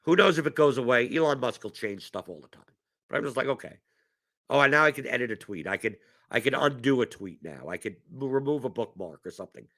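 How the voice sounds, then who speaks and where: A man's voice: mid-range voice, expressive, quick pace, sharp tone. A man hosting a fantasy sports strategy stream, chatting casually and answering chat questions while losing his voice to a cough, often repeating words as he restarts his thoughts.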